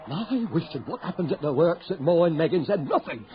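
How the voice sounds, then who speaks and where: Guy acting a worn-out fearful husband in old radio drama, highish voice, really emotional, muffled lo-fi sound. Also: expressive, natural voice. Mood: horrified protest. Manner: halting, emotional, melodramatic delivery. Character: grief-stricken, anxious husband and father, weary, fearful. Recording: dull lo-fi radio drama recording.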